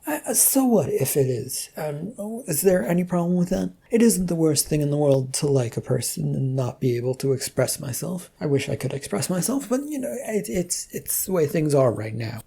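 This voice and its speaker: Storybook reluctant prophet read by a narrator: awkward, hesitant man, husky and creaky. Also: medium pitch, lively intonation, normal speed, few pauses, mellow. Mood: flustered. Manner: hesitant, stammering. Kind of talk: amateur story reading in character.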